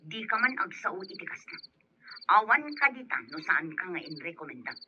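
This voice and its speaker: A woman with an Ilocano accent playing a bossy, sharp-tongued mother and madam, demanding and snapping, in a radio drama. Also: on the low side, big pitch swings, moderate pace, noisy background. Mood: angry. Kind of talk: Filipino radio drama acting. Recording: dull lo-fi radio audio over music.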